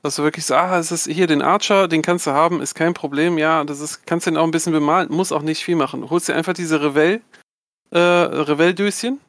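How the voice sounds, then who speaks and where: A man's voice: fairly high pitch, fairly even intonation, fairly quick, fairly bright tone, no room sound. A man co-hosting a casual podcast who stammers as he tells a story. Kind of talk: casual chat.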